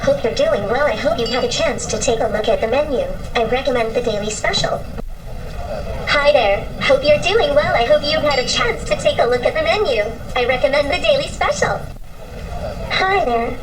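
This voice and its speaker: A woman's voice: sits high, expressive, moderate pace, long pauses, distant mic, low-quality recording. A synthesized female voice of a robot restaurant server reading short polite greetings. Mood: neutral. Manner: scripted greeting lines repeated in several voice styles.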